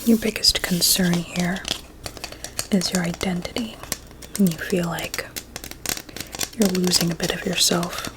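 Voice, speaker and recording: A woman playing a sinister, courteous doctor in an ASMR roleplay, mostly in a soft, breathy whisper with a measured, clinical manner. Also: lowish voice, noisy background. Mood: soothing, hypnotic. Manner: slow, measured, clinical and politely formal.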